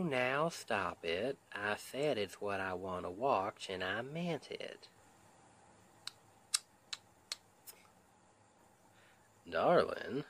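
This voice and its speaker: A man playing a tender, reassuring Southern husband in an intimate ASMR roleplay, with a Southern US accent and a soothing, gentle, often half-whispered delivery. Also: medium pitch, exaggerated voice. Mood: cozy, affectionate. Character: tender, reassuring Southern husband comforting his stressed wife.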